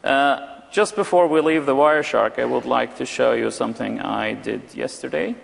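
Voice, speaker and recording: A man with Arabic as his first language, speaking in a gravelly, mid-pitched voice. He talks through a live technical demo, hesitating and restarting as he goes. The sound has the long reverb of a big hall. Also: normal speed. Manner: hesitant, many fillers and restarts. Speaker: security expert presenter. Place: large conference hall.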